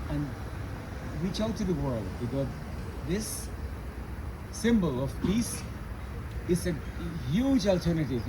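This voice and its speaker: A man from Guyana giving an informal speech of thanks in deliberate, broken phrases with pauses. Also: fairly high pitch. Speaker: speaker giving a vote of thanks. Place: live ceremony at a statue. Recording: live event with vehicle noise.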